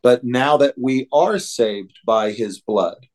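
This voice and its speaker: An American man co-hosting a religious podcast, teaching in a deliberate, halting way. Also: medium pitch, darkish tone.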